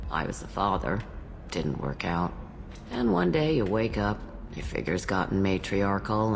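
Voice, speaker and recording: An actress playing an ancient, blunt alien matriarch tending bar in a video game, with a gruff, wry manner. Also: female voice, a bass voice, a little hiss or hum. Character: jaded, blunt thousand-year-old alien matriarch bartender. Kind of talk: video game character dialogue.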